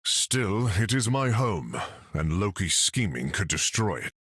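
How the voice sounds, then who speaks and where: Husky, deliberate Norse god: proud, hearty, grandly formal video game warrior. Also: male voice, on the low side, sing-song, a bit bright, dry recording, clean studio sound, full character voice. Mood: solemn.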